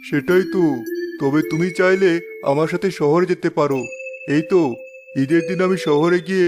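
Man playing a higher-voiced, chatty village neighbour. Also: moderate pace, lightly acted. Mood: persuasive. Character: friendly, knowing village neighbour man, eager to advise. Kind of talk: cartoon dialogue dub. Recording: music under the voice.

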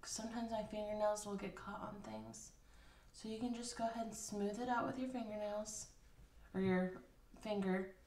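A woman's voice: mid-range voice, moderate pace. Woman chatting through a craft demo. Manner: relaxed, chatty, thinking aloud with fillers. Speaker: craft instructor. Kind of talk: casual hands-on craft tutorial. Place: indoor craft table. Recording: roomy, slightly distant.

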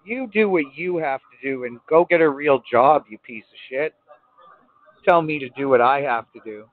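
A man taunting a phone scammer, mocking, swearing and yelling at him. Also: mid-range voice, normal speed, no echo. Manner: taunting, swearing, sings and yells. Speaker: scam baiter. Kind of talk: prank phone call. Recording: tinny phone line with music.

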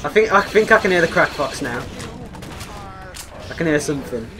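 A young British man in casual gaming banter, excitable and quick to exclaim. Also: on the high side, background noise. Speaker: gamer. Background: video game music.

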